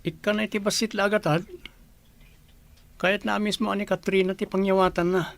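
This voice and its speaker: A woman's voice: mid-range voice, level tone, fairly quick, creaky, lightly acted. A woman voicing a polite, earnest young man in an audio drama.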